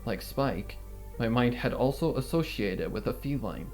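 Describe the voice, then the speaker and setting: A man's voice: medium pitch, lively intonation, a little slow, darkish tone, close to an everyday voice. A man reading a horror fan fiction as a frightened, guilt-ridden female pony, in a shaky, dramatic manner. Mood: guilty, haunted. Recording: music under the reading.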